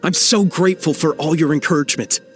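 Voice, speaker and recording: Man as earnest, higher-voiced young soldier. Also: fast, faint music behind, close to an everyday voice. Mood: grateful, fervent. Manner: fervent, sincere. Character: earnest, grateful, spirited young male soldier. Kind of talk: video game voice acting.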